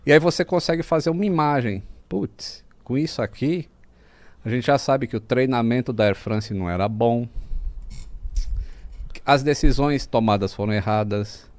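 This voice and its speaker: A man's voice: mid-range voice, normal speed, a little mellow, no echo. A man with a Brazilian accent talking casually as a podcast guest, explaining things like a storyteller and mimicking sounds as he goes.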